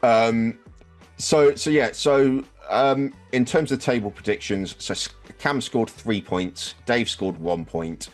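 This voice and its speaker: A man's voice: mid-range voice, takes it slowly, soft background music. A man hosting a sports podcast, talking casually and wryly as he explains season results, with some swearing. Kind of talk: casual football podcast review.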